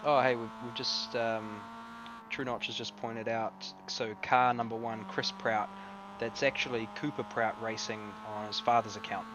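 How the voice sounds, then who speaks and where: A man with a New Zealand accent calls an online car race in an easygoing, rambling way. He sits some way back from the microphone, and a steady hum and noise sit under his voice. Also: mid-range voice, normal speed. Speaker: sim racing commentator. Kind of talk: casual live race commentary. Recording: distant mic with noise and hum.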